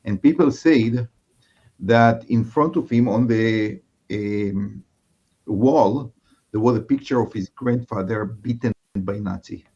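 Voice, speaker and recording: A man's voice: fairly low pitch, slow, warm tone, slightly rough, muffled recording. A man from Israel with an Israeli accent, a native Hebrew speaker, speaking reflectively as a guest rabbi and often searching for his words. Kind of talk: reflective conversation.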